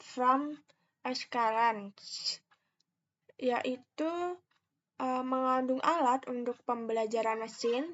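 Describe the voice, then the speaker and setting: A young woman speaks in a fairly high voice through a slightly muffled, lo-fi microphone. She presents like a student walking through a tutorial, explaining each step carefully and very slowly, with some hesitation. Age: young adult. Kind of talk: step-by-step screen tutorial narration.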